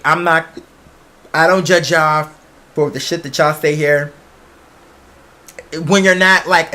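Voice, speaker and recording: Bright, forceful, candid host chatting casually. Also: medium pitch. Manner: candid, rambling, slangy and familiar. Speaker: online show host. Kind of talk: casual conversational monologue to followers.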